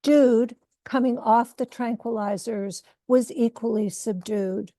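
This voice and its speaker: A woman author reading her own novel aloud in a measured, literary narration style. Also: fairly high pitch, fairly even intonation, warm tone, no echo.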